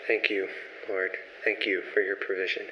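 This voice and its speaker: A man acting a kind young pastor in a radio drama, low in pitch and polite, a touch hesitant. The sound is roomy, with faint noise. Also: little pitch movement, normal speed, bright, forceful tone. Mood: awed, grateful. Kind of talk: old-time radio play acting.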